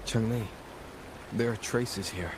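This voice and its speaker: A man voicing a terse, steady young wanderer hero in a video game, speaking briefly and matter-of-factly. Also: on the low side, takes it slowly, noisy background. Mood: focused. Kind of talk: scripted video game voice acting.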